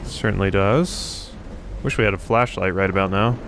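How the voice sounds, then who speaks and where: A man's voice: low voice, moderate pace, creaky, background noise. A man giving casual let's play commentary, thinking aloud as he goes. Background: game ambience.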